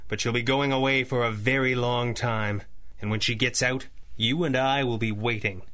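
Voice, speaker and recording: Actor for a debonair pulp hero, mid-pitched and crisp-edged, theatrical, wry, formal 1930s radio adventure delivery. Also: male voice, fairly even intonation, moderate pace, bright, forceful tone, natural voice. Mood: sober. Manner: formal, smooth-talking, theatrically composed, droll. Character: suave, confident masked superhero and mentor, wryly amused. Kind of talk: 1930s-style radio adventure serial acting.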